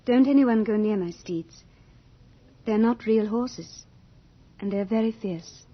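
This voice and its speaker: An actress playing Death as a lovely, shy young girl in an old-time radio drama, sweetly polite and breathy. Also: medium pitch, slow pace. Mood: gentle, eerie. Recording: dull old radio recording, faint music.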